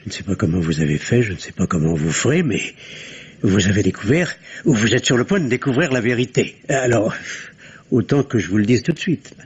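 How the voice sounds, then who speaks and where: An actor playing a doctor questioned by the police, who speaks in a hesitant, guarded way, in the manner of a 1950s radio drama. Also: male voice, fairly low pitch, lively intonation, a little fast, husky. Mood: confessing. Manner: hesitant, guarded, then confessional. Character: nervous doctor questioned as a suspect, man. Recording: dull old radio recording.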